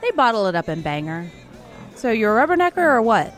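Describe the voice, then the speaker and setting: A woman's voice: fairly high pitch, sing-song, a little fast, a bit bright, soft background music. A young woman playing a sardonic, chatty bartender in her twenties, with a wry, casual delivery in a scripted audio drama.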